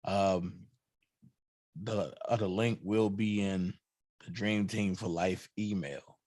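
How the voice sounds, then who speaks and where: A hoarse man hosting a livestream, chatting casually and hyping up shout-outs to fellow channels. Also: deep voice, fairly even intonation, slow, no echo. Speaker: livestream host.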